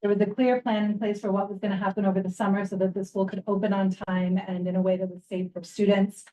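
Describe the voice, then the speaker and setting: A woman with a soft, mellow, dark voice gives an update in an online meeting. She stops often with ums as she reports. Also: medium pitch, flat delivery, poor audio. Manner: halting, with fillers. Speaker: council member giving an update.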